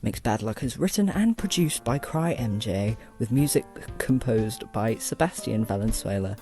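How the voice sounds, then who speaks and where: A man reads scripted show credits. His voice is mellow and middle-pitched. Soft guitar music plays faintly behind him. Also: expressive, moderate pace. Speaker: credits reader. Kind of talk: scripted announcement.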